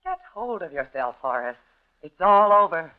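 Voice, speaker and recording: Cold, domineering American schemer, dull old radio. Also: female voice, on the low side, sing-song, moderate pace. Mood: firm, reassuring. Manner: curt, commanding, composed. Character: cold, domineering, scheming woman partner in crime. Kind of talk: 1950s radio drama acting. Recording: dull, lo-fi 1950s radio recording.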